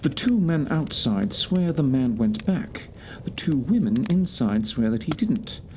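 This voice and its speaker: A man playing a composed, intellectual gentleman detective, precise and formal, in an audio drama. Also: medium pitch, big pitch swings, unhurried, a little hiss or hum. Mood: intrigued. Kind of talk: audio theatre acting.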